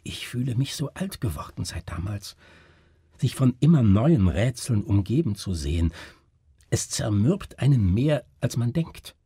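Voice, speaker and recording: A man voicing a weary, shaken man gravely recounting an unsolved horror in a dramatic literary reading. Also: medium pitch, very animated, measured pace, mellow, no room sound, studio quality, exaggerated voice. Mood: weary, sorrowful.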